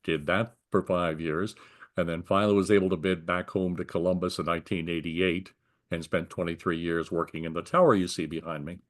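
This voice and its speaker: An older man with an American accent speaks in a fairly low voice. He recounts things conversationally, as an interviewee would, and explains them in detail. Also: steady tone, fairly quick. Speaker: retired air traffic controller being interviewed.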